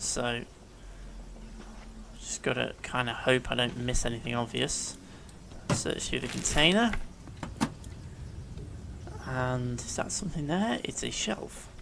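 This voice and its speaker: Chatty gamer with a stuffy head cold, gravelly fry, talks at an everyday pitch while narrating his gameplay. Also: a bit bright, slight background noise. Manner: chatty, rambling, thinks aloud. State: congested with a cold, sore throat. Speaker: let's play commentator. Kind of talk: casual gameplay commentary. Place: living room at home.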